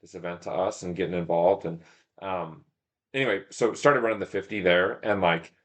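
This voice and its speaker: A man with a fairly low-pitched voice is a guest in a casual podcast interview. He tells stories in a loose, rambling way, often thinking aloud and talking himself down a little. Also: fairly even intonation, moderate pace, a bit bright. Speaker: podcast guest, ultramarathon runner and shop owner. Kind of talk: casual interview talk, telling stories about racing.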